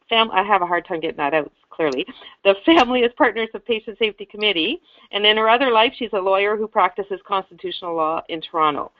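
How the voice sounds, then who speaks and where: A woman's voice: medium pitch, dry recording. Woman hosting a lo-fi webinar. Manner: hesitant, many fillers and restarts. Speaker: webinar host. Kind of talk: casual webinar introduction. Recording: dull lo-fi webinar audio.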